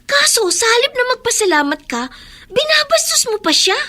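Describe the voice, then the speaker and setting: A woman's voice: very high-pitched, big pitch swings, moderate pace, pushed and bright, heavily acted. A woman playing a protective, fiery young daughter in a radio drama, indignant and emotional, with a Filipino accent. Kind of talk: Tagalog radio drama acting.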